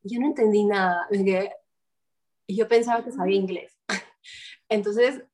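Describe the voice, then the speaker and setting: A woman in her twenties from Peru, talking through her story in a relaxed interview. She tells it with animation and acts out the voices of the people she quotes. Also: mid-range voice, moderate pace. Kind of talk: casual interview chat.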